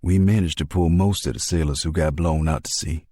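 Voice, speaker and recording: A man's voice: a bass voice, steady tone, a little fast, mellow, no room sound, studio quality. A man acting a blunt, loyal navy pilot with folksy phrasing in a film drama. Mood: grave. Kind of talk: dramatic animatic film dialogue.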